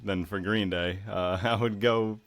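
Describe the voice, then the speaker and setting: A man with a very low, deep voice hosts a casual podcast. He is laid-back and joking, and he swears offhandedly as he asks his questions. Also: fairly even intonation, unhurried. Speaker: podcast host.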